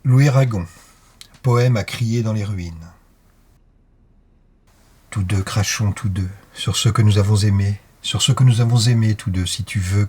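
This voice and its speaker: A man's voice: fairly low pitch, darkish tone, breathy edge. A man reciting poetry dramatically, in short, insistent, incantatory phrases. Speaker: poetry reader.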